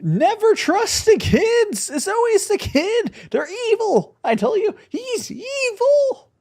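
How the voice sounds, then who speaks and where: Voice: extremely high pitch, very animated, slow, a little mellow. A streamer joking around and rambling during live gameplay commentary. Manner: joking, playful, rambling asides. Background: faint game music.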